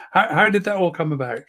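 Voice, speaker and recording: An older woman from Britain hosting a casual interview, with a halting, word-repeating, chuckling delivery. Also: lowish voice, a bit bright. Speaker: show host.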